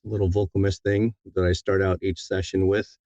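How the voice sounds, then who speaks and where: A man's voice: deep voice, flat delivery, moderate pace, a little mellow, no echo, lo-fi sound. A man being interviewed as an audiobook narrator, talking casually and thoughtfully, often repeating words as he feels his way along.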